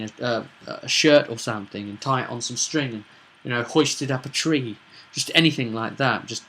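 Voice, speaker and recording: A man's voice: mid-range voice, bright, forceful tone, slight background noise, low-quality recording. A British man giving casual, unscripted commentary as a game master, emphatic and opinionated, rambling along with rhetorical questions. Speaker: tabletop roleplaying game master and vidcast host.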